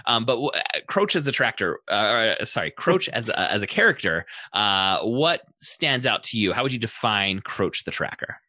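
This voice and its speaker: Bright-voiced man hosting, slightly low. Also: big pitch swings, normal speed, few pauses, dry recording, studio quality. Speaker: podcast host. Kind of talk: conversational interviewing.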